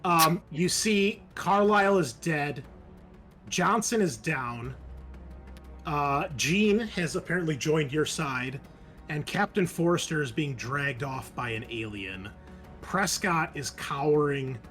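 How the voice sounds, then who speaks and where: A man with a slightly high voice acts as game master for a tabletop horror session. He moves casually between vivid scene narration and rules talk, swearing now and then. Faint music plays underneath. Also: expressive, slow pace, fairly bright tone.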